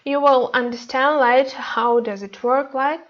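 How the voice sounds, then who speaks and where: A young Ukrainian woman with a Ukrainian accent, a Korean language teacher introducing her online course with many pauses and fillers. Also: sits high, steady tone, highs cut off. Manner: hesitant, many fillers and restarts. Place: indoors, webcam inset beside slides.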